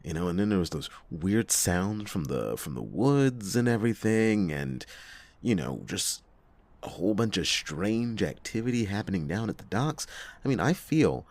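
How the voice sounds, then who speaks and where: Man playing a deep, echoey noir private detective. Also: sing-song, darkish tone. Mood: reluctant, pleading. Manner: hesitant, drawn-out phrasing with pauses and sighing chuckles. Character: world-weary male noir private detective, reluctant and evasive. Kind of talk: first-person noir detective roleplay, hushed dramatic monologue. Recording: echoey long reverb, music behind.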